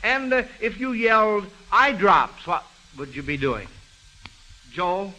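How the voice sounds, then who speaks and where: Male quiz host, high-pitched, piercingly bright, folksy, avuncular, old radio with faint noise. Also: big pitch swings, slow pace, rough recording. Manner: folksy, chuckling, repeats answers, avuncular toward children. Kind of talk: 1940s radio quiz hosting, conversational.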